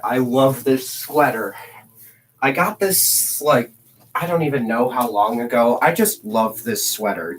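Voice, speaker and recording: A man's voice: medium pitch, a little hiss or hum. A teenage boy chatting on a livestream, rambling and self-mocking with jokey asides. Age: teens.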